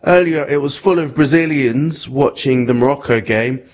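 A male reporter presenting a news segment and interviewing people in the field, speaking in a measured way with small hesitations. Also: mid-range voice, dry recording, muffled recording.

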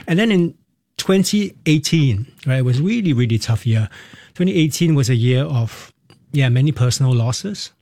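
Mellow-voiced Singaporean man, reflective. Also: mid-range voice, animated, normal speed, no room sound. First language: Cantonese. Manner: reflective, laughs easily, speaks in short restarting phrases. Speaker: interview guest, musical theatre performer. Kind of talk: candid personal storytelling.

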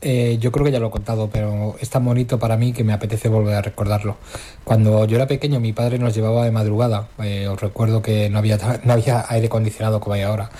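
A man from Madrid calls in to a radio show and speaks with quiet tenderness. His voice sits a little low and has a soft, mellow tone. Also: flat delivery, fast. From Madrid, Spain. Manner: tender, reminiscing. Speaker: caller. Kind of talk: recorded listener message.

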